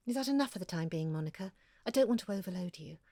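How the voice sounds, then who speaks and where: A woman's voice: lowish voice, expressive, fast, mellow, no room sound, clean studio sound. A woman playing an earnest, chatty amateur researcher in an audio drama, confiding and effusive as she talks. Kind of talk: conversational audio drama monologue.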